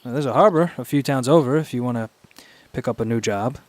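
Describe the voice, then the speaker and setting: An actor playing a friendly, easygoing companion in a radio drama, casual and conversational. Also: male voice, mid-range voice, fast talker, a little mellow, a little hiss or hum, lightly acted. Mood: relaxed, friendly.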